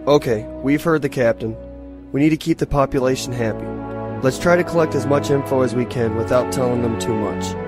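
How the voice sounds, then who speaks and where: A man playing a calm, tactful starship officer leading a field mission, matter-of-fact and careful, in a science fiction audio drama. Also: mid-range voice, brisk, over music, natural voice.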